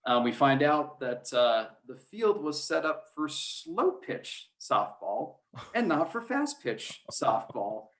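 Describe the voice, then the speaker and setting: A man's voice: a little high, big pitch swings, slow pace, fairly bright tone. Man chatting casually in an interview. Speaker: podcast guest, high school sports play-by-play announcer.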